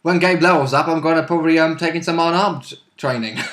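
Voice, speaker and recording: A man's voice: on the high side, a little fast, fairly bright tone, slight background noise. A man chatting casually as a player in a tabletop role-playing game. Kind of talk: casual chat. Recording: echoey, roomy sound.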